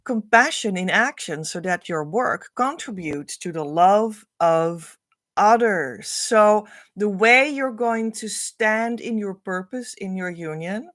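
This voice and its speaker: A woman's voice: mid-range voice, dry recording. Dutch-accented woman teaching, dry close sound. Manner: earnest, repetitive, flowing phrasing with soft trailing endings. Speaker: spiritual coach. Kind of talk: livestream teaching talk.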